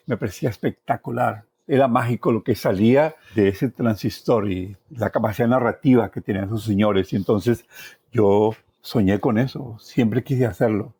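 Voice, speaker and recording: An older Colombian man telling personal stories in a reflective, expressive way as an interview guest. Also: lowish voice, normal speed, husky, no echo. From Valle del Cauca, Colombia. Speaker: journalist, podcast guest. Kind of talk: personal storytelling.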